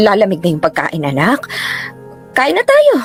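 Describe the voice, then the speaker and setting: A woman's voice: mid-range voice, full character voice. An actress playing a flustered, vain middle-aged mother in a radio drama, exclaiming and stammering. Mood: nervous, evasive.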